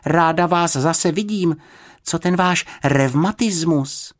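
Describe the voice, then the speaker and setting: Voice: medium pitch, voice pushed up, lightly acted. A storybook reader voicing a cheerful, chatty young girl who sounds confident and friendly.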